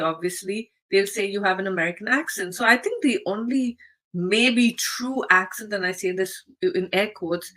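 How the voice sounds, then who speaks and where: A woman's voice: mid-range voice, fairly bright tone, poor audio. Woman with Indian English accent. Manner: measured, explanatory, occasional fillers. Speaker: vlogger. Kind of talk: explanatory talk to camera.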